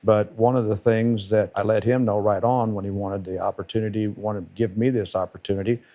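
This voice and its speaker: A middle-aged man speaks in a powerful, somewhat low voice. He is a football coach in relaxed podcast talk, hammering his points with repetition. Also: fairly quick. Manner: emphatic, repeats words for stress. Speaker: football defensive coordinator. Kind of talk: casual podcast conversation.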